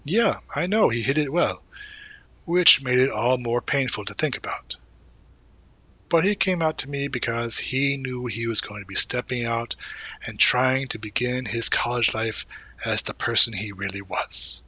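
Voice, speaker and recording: A man playing a supportive, gently teasing young college guy in an intimate audio roleplay, talking casually and reassuringly with hesitant pauses and murmured asides. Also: medium pitch, husky, dry recording. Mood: sincere, reflective. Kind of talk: intimate audio roleplay, one-sided conversation.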